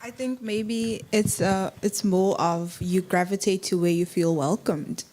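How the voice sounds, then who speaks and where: A woman from South Africa in the audience at a panel event, speaking in a relaxed, chatty way about where she feels at home. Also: medium pitch, measured pace. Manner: relaxed, chatty, laughs easily. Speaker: audience member. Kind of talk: sharing a personal story at a panel event.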